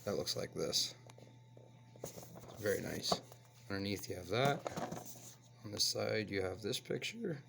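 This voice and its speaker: A man with a hoarse voice from a cold, hosting a casual toy review and chatting as he unboxes. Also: fairly low pitch, a little slow, long pauses, background noise. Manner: relaxed, chatty, explains as he handles the toy. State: hoarse, getting over a cold. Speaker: toy reviewer, channel host.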